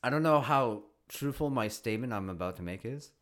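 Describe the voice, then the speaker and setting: A young man talks in a loose, profane way, his pitch jumping all over as he chats. He keeps slipping into other people's voices to tell a story. It sounds like an easygoing podcast conversation. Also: brisk. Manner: animated, swearing, acts out quoted people. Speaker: podcast co-host. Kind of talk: casual chat.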